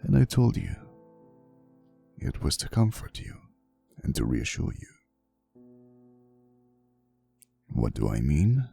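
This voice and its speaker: A man voicing a centuries-old, devoted vampire boyfriend in an intimate ASMR roleplay, with a gravelly voice and a soft, theatrical, old-fashioned way of telling his story. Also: wildly swooping pitch, slow pace, long pauses, soft background music, full character voice. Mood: reassuring, tender. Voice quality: very deep, gravelly.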